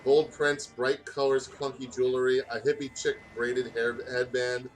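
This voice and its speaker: A man's voice: medium pitch, level tone, measured pace, faint music behind, poor audio. A man reading fan fiction aloud in a casual, joking way, often stumbling over his words.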